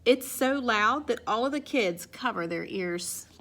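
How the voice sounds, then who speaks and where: A woman reading a children's picture book aloud in an expressive way. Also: a little high, a little slow. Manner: expressive, acts out sound effects and exclamations. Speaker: storybook reader.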